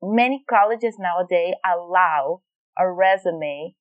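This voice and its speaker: A woman's voice: lowish voice, slow, dry recording, narrow, tinny audio. Woman from Venezuela, explaining carefully. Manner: thoughtful, explanatory, with frequent fillers and restarts. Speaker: educational consultant guest. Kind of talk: podcast interview answers.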